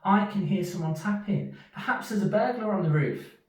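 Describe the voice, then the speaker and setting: A man's voice: sits high, normal speed, pitched above the performer's own voice, close to an everyday voice. A man voicing a small, anxious young male mouse for a children's storybook, urgent and plaintive. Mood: frightened, urgent. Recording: echoey room, distant from mic.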